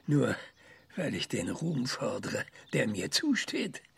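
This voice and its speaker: An actor playing a proud, combative old science professor in a German radio drama, speaking insistently in halting, declamatory bursts. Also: male voice, medium pitch, huge pitch swings, gravelly, breathy edge, no echo, exaggerated voice. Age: older.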